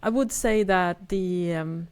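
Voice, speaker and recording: A woman's voice: lowish voice, takes it slowly, a little mellow, clear, resonant tone. A woman, co-hosting a casual podcast chat, thoughtful and hesitant, often repeating words as she searches for them. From Sweden. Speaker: podcast co-host.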